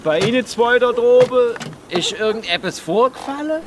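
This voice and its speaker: A man's voice: very high-pitched, lively intonation, normal speed. A man playing a cautious, anxious Swabian army sergeant in a radio drama, speaking in dialect. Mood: wary, uneasy. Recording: noisy outdoor street with vehicle. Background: car and outdoor ambience.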